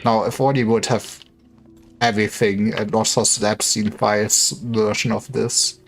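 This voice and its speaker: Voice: mid-range voice, flat delivery, measured pace. A livestreamer giving casual, wry commentary on a game, with joking asides. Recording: faint music behind. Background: game music.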